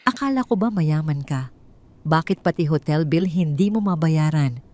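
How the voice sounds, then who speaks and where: An angry, materialistic mistress, acted out by a woman narrator. She snaps and complains with a sharp tongue, in melodramatic storytelling style. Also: deep voice, expressive, moderate pace. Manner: snapping, complaining.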